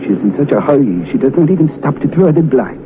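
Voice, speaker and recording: An actor playing a ruthless, cynical anti-Nazi agent in a 1940s radio drama, speaking in suave, formal, slightly stilted phrases. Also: male voice, on the high side, sing-song, fast, over music. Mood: worldly, insinuating. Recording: scratchy, noisy, narrow-band old radio recording.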